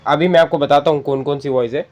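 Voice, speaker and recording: A man with an Indian accent and a slightly high-set voice presents a casual tutorial. He explains each step conversationally. He keeps checking in with the listener as he goes. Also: fairly even intonation, fast, a little mellow, lo-fi sound. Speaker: tutorial host.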